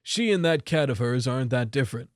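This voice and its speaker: A man playing an easygoing old grandfather in a storybook, talking in a relaxed and folksy way. A mid-pitched, everyday voice, close to the reader's own. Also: fast talker, no echo, natural voice.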